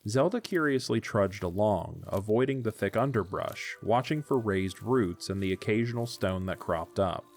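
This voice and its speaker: A man's voice: on the low side, expressive, moderate pace, creaky, hardly any pauses. A man narrating a scripted story as the narrator of an audio drama.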